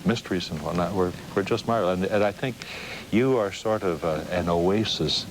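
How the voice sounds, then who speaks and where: A man with a low-pitched voice hosts a TV interview. He talks conversationally, halting and musing as he goes. The old broadcast recording is clearly noisy. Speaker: TV interview host. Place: television studio.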